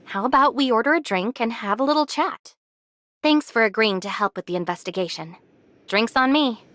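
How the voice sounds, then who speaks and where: A woman's voice: on the high side, moderate pace, a bit bright, close to an everyday voice. An actress playing a tactful, guarded young woman in an anime game, speaking expressively and diplomatically. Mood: friendly. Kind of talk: English anime game voice acting.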